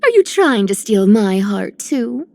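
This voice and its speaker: A woman's voice: medium pitch, big pitch swings, unhurried, gravelly, mellow, no room sound. A woman voicing a playful, coy young treasure-loving thief in a video game, with a teasing manner. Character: playful, greedy but generous young thief girl. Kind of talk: video game character voice lines.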